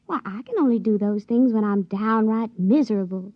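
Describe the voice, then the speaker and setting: A woman's voice: mid-range voice, moderate pace, no room sound, natural voice. An actress playing a young, slyly confident country woman in love, with rustic folksy speech, in a 1950s radio drama. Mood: sweetly assured. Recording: muffled vintage radio recording.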